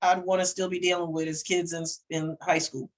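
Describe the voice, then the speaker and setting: A woman's voice: on the low side, level tone, brisk, over a noisy crowd. A middle-aged woman co-hosting a casual talk show, teasing and laughing often as she chats. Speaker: co-host, counseling coach. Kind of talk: casual podcast chat.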